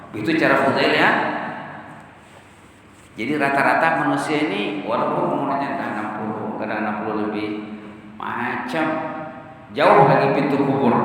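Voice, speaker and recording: A male Islamic preacher speaks from a distance in a heavily reverberant mosque hall, with faint noise in the recording. He teaches his congregation didactically, putting rhetorical questions to them and answering them himself. His voice sits at a middle pitch. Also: fairly bright tone. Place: large mosque prayer hall.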